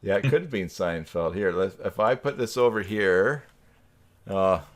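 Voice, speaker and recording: A man's voice: low voice, lively intonation, normal speed. A man hosting a casual video call chat, joking and teasing as he talks. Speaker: live stream host.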